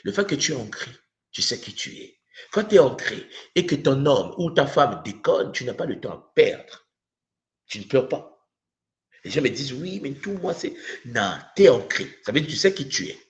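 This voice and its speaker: A man's voice: medium pitch, sing-song, normal speed. A man with a Congolese accent giving an impassioned spiritual lesson, using rhetorical questions and repeating himself for emphasis. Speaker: spiritual teacher. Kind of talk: impassioned spiritual teaching to an online audience.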